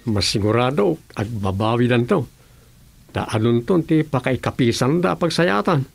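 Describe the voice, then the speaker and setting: An actor playing an opinionated, slightly defensive village man with an Ilocano accent and a gravelly, husky voice in a comedy radio drama. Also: medium pitch, expressive, normal speed. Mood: scornful.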